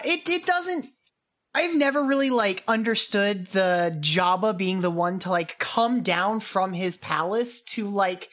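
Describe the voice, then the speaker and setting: A woman's voice: medium pitch, slow pace, fairly bright tone. A woman co-hosting a podcast, talking casually as she reviews a show with a partner. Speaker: podcast co-host. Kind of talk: casual chat reviewing a radio drama.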